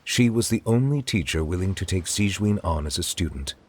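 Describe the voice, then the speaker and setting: An actor playing a stately male chief judge who speaks in a formal, measured, courtly way. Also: sits low, animated, a little mellow, a little hiss or hum.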